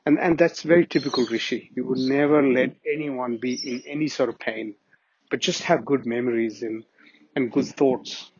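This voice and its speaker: Middle-aged man, husky and earnest, recalling fondly; dry, dull-sounding recording. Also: medium pitch, moderate pace, no room sound. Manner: earnest, reminiscing, with frequent restarts. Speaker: family friend giving a memorial tribute. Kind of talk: personal spoken tribute. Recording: dull, muffled audio.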